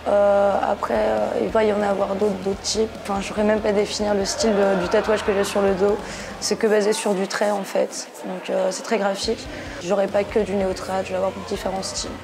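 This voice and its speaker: Young woman, twenty, from Portugal, hesitant, lots of fillers and restarts, fairly flat monotone delivery. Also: mid-range voice, fairly quick, creaky, noisy background. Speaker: interviewee, tattoo shop piercer. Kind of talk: casual portrait interview.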